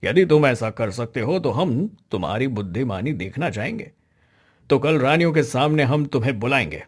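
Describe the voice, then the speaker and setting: A man voicing a stately, shrewd king in a moral-story cartoon, formal, measured and authoritative in manner. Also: medium pitch, animated, slightly rough. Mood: pleased, regal.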